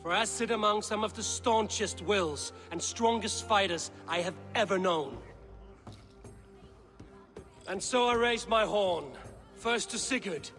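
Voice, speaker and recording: Proud Viking raider, long hall reverb. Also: male voice, high voice, unhurried, fairly bright tone, faint music behind. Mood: proud, rousing. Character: proud, steady male Viking raider and clan leader. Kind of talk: video game voice acting.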